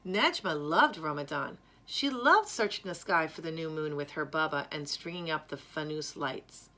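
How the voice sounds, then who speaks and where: A woman reading a children's story aloud in a measured, careful, expressive way. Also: deep voice. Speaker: storyteller reading a picture book.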